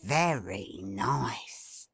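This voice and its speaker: A woman voicing a poor old working man who muses slowly in plain, homely speech. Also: very deep voice, animated, creaky, a bit bright, husky, no echo, studio quality, pitched below the performer's own voice, full character voice. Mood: musing. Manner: slow, musing, homely speech.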